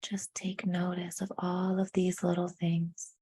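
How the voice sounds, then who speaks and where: A woman leading a guided meditation in a hushed, soothing manner, with deliberate phrasing and frequent pauses. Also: lowish voice, monotone, breathy edge.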